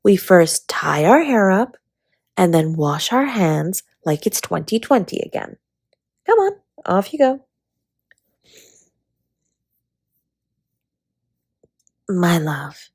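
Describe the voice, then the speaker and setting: A woman playing a flirty, affectionate dragon baker spouse in a romantic roleplay audio, with a breathy, husky, teasing delivery. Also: lowish voice, darkish tone, no room sound, clean studio sound, exaggerated voice. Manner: teasing, intimate, often slipping into breathy whispers.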